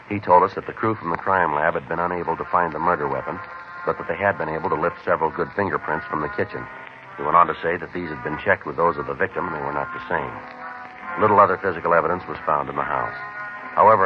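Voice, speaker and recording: An actor playing a terse, businesslike police detective in a 1950s radio drama, clipped, polite and matter-of-fact. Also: male voice, rumbling low. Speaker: detective narrator and lead. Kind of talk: 1950s radio drama, documentary-like scripted narration. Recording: muffled, hissy old 1950s radio recording.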